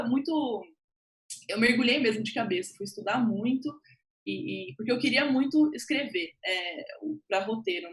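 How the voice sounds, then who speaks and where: A woman with a Brazilian accent speaking as a guest writer on a panel, telling her story informally and acting out remembered remarks in an animated way. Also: fairly high pitch. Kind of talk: conversational personal account on a panel.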